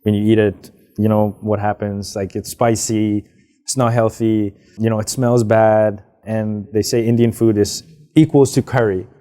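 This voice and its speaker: A young man from Hong Kong, answering interview questions in a relaxed, rambling way with frequent fillers. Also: lowish voice, measured pace, soft background music. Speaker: guest, business founder. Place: outdoors, karaoke nearby.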